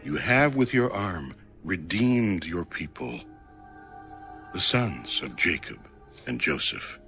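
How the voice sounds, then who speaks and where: A man's voice: deep voice, big pitch swings, slow, pushed and bright, faint music behind. A man giving a solemn, reverent, declamatory reading of scripture as an audio Bible narrator.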